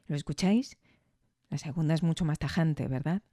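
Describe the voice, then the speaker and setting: A podcast reviewer talks through an analysis in a soft-edged, mellow voice. The delivery is reflective and didactic, often turning to the listener with questions. The sound is very dry. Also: medium pitch, moderate pace, dry recording.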